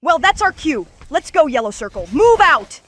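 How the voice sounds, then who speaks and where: Woman voicing a high, commanding boy hero. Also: very animated, normal speed, background noise. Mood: earnest. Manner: commanding, earnest. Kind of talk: animated comic dub voice acting.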